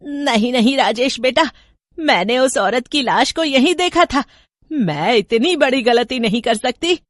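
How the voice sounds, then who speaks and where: A woman voicing an older mother-in-law, panicky and complaining, with a shrill, dramatic manner in a horror story. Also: expressive, measured pace, no echo, studio quality. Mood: panicked.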